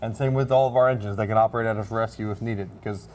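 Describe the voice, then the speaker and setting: A man's voice: lowish voice, steady tone, racing, darkish tone, slight background noise. A plain-spoken man, a fire officer explaining casually in an interview.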